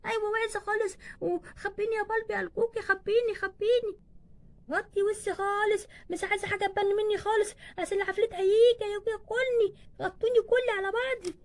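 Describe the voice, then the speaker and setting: A woman's voice: very high-pitched, steady tone, rapid-fire, darkish tone. A woman voicing a frightened, clingy little girl in doll play, whiny and pleading, with an Egyptian accent. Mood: scared, pleading. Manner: whiny, pleading, repeats herself.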